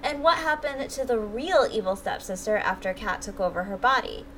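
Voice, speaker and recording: A woman's voice: on the high side, animated, normal speed, fairly bright tone, a little hiss or hum, poor audio. A woman narrating a scripted review as a channel host, speaking articulately in full, read-aloud sentences.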